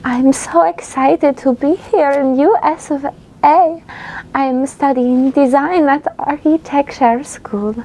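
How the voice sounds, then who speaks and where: Woman doing a high creaky Icelandic student. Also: takes it slowly. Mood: deadpan earnest. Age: young adult. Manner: deadpan, earnest about absurd tales. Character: quirky young female Icelandic design student. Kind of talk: comedic character monologue.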